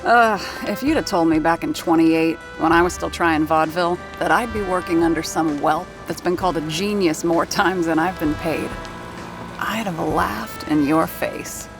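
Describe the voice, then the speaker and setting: Actress doing a world-weary radio player, wry, sardonic, lowish voice, faint music underneath. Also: female voice, moderate pace, natural voice, low-quality recording. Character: sharp-tongued woman radio actor, world-weary. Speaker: radio sound effects artist in a 1930s theater. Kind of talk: audio drama with in-character narration.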